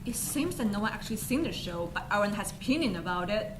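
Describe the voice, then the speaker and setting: A woman's voice: medium pitch, expressive, quick pace, lightly acted. A woman acting an exasperated, cynical ticket queuer in an amateur stage comedy. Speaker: student actor in a school play. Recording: noisy stage recording.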